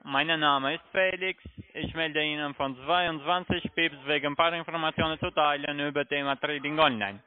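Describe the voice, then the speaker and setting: A man's voice: on the high side, steady tone, normal speed. Polite foreign-accented man on thin phone line. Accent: foreign, non-native German. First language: not German. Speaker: call centre sales agent. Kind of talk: cold sales call. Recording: narrow telephone line.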